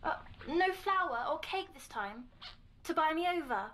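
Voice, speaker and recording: A woman's voice: high voice, expressive, normal speed, noisy background. An actress playing a guarded, sullen young girl patient in an audio drama, giving curt, distrustful replies.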